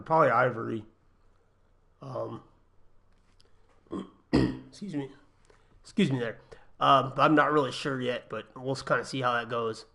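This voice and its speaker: Slightly raspy young man streaming a game. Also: mid-range voice. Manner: relaxed, thinking aloud with many ums and uhs. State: getting over a cold, a little raspy. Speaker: gaming livestreamer. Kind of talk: casual livestream commentary. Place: home apartment.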